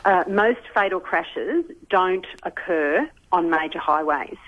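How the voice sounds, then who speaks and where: Female politician, Australian accent, lowish voice, interview comment through tinny telephone sound. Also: lively intonation, slow pace. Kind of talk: spoken news interview comment. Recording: tinny phone-like radio clip.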